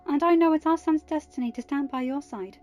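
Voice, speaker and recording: Woman acting a high-voiced, tearful young wife. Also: a little fast, darkish tone, soft background music, close to an everyday voice. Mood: devoted, worried. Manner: emotional, pleading. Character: tearful, frightened young wife, loving and devoted. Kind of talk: dramatised audiobook reading.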